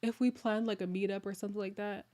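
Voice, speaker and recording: Woman streamer, casual live chat host, chatty and animated, slightly worn, hoarse voice. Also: medium pitch, fast talker. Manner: chatty, excitable, laughs often. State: losing her voice, a little hoarse. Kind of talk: casual livestream chat with viewers.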